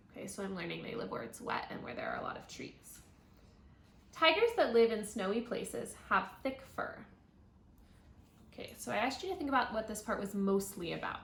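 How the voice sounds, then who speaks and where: A woman teacher reading a children's nonfiction book aloud, thinking out loud and guiding young listeners as she goes. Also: medium pitch. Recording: roomy echo, audible background noise.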